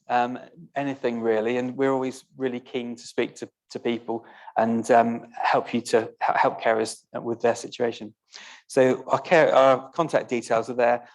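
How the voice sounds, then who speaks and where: A man's voice: medium pitch, a little mellow, low-quality recording. A man presenting a carers support service to an audience, earnest and encouraging. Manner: earnest, with frequent fillers and restarts. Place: theatre function room, live event.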